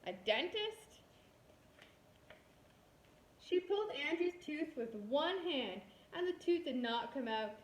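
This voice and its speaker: A woman's voice: high-pitched, lively intonation, unhurried, few pauses, a little hiss or hum. A woman kindergarten teacher reading a storybook aloud expressively, talking directly to young children. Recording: roomy echo.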